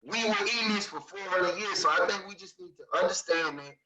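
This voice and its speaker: A man's voice: high voice, a little fast, reverberant, far from the mic, dull audio, a crowd in the background. A man, speaking in an emphatic, assertive way in a group discussion and repeating points for stress. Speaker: discussion participant. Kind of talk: passionate opinion in group discussion.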